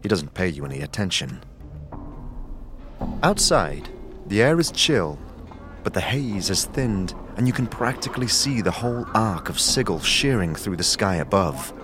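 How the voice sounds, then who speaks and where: A man narrating an audio drama in a steady, descriptive storytelling style. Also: low-pitched, unhurried. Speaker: narrator and producer. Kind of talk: scripted narration. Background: faint music.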